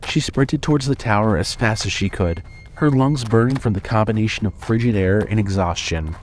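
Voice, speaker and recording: Narrator, male: fairly low register, scripted horror storytelling, light background ambience under the voice. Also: normal speed, a little hiss or hum.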